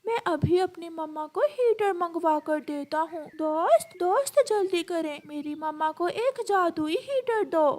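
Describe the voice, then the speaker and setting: A woman's voice: very high voice, lively intonation, unhurried, few pauses, darkish tone. A woman voicing a small young bird child in a cartoon, whiny, earnest and pleading. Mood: earnest, urgent. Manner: whiny, repeats words pleadingly.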